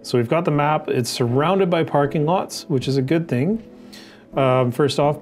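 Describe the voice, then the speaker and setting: A man's voice: medium pitch, darkish tone. A middle-aged man giving a conversational tutorial as an instructor, often asking questions and answering them himself. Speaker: drone training instructor. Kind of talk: explanatory tutorial, walking through regulations on screen. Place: indoors in an office by a window. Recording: music playing behind the voice.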